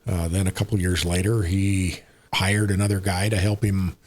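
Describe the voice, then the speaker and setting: A man in his sixties with an American accent, chatting casually as a podcast guest in a rambling, unhurried way. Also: low voice, little pitch movement, a little mellow. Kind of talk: casual conversational interview.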